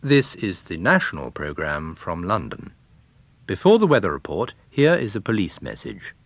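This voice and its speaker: A man voicing a formal, measured 1930s radio announcer within a story reading. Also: sits low, huge pitch swings, no room sound, full character voice. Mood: grave, official. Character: formal 1930s male wireless announcer reading police message.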